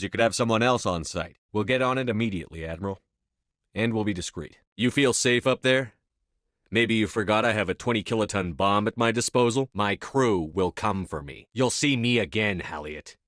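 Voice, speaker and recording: A man acting in a video game as a commanding, resolute space soldier, speaking firmly with measured authority. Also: on the low side, a bit bright, no echo, clean studio sound. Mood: businesslike, questioning, then defiant, determined. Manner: firm, authoritative, measured delivery. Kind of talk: scripted game dialogue acting.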